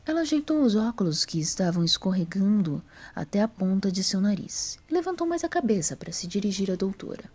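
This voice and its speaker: Narradora mulher de voz suave e aveludada. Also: female voice, medium pitch, big pitch swings, brisk, few pauses, darkish tone, husky. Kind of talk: scripted story narration.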